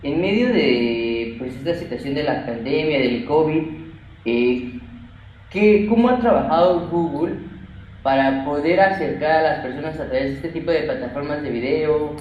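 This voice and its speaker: A woman's voice: sits low, moderate pace, a little mellow. A woman interviewer asking formal questions, piecing them together hesitantly. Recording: very echoey, slightly dull, faint noise.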